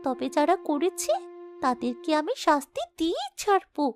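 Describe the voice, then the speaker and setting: Brave young princess, very high girlish pitch, lively swings, earnest melodrama, music behind. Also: moderate pace. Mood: reassuring, determined. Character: brave young princess, tender daughter, resolute about punishing wrongdoers. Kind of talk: Bengali fairy-tale cartoon dubbing.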